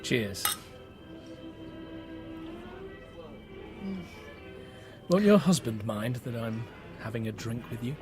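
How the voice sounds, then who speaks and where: A man's voice: medium pitch, lively intonation, normal speed, darkish tone, close to an everyday voice. An actor in a radio drama playing a polite, wry Englishman with an English accent and a courteous, understated manner. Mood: politely curious. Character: polite, wry Englishman caught up in wartime espionage. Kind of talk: radio drama acting with first-person narration. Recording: music, ship and outdoor ambience behind.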